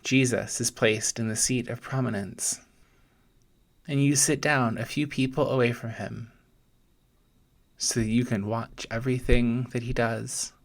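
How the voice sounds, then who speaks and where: A man speaks very slowly in a medium-pitched voice, as a pastor leading a guided meditation. His delivery is gentle and deliberate, with pauses between short phrases as he narrates scripture as an imagined scene. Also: fairly even intonation, vocal fry.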